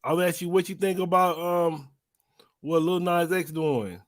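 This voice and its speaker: A man's voice: high-pitched, fairly even intonation, unhurried. A man working as an interviewer, asking questions in a casual, conversational way.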